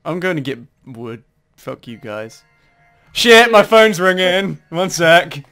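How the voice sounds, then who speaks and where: A man's voice: high voice, sing-song, slow, sharp tone, clean tone, faint music behind. A young man co-hosting a gaming video, chatting casually in a brash, teasing, sweary way. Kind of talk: casual gameplay chat.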